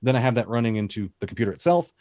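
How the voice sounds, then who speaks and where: A man with a low, even voice talks through his gear in a relaxed, rambling way. He sounds close to a studio microphone in a dry, dead room at home. He is an esports caster chatting on a video call. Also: rapid-fire, no echo. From Texas. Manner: easygoing, detailed, rambling explanations. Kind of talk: casual interview chat over video call. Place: home broadcast setup room. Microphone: studio dynamic mic on video call.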